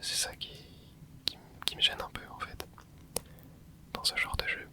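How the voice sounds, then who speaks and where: A young adult ASMR host whispering casual, rambling chat while playing a video game, with many hesitant fillers. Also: measured pace. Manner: soft unvoiced whisper throughout. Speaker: ASMR gaming channel host. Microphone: close mic.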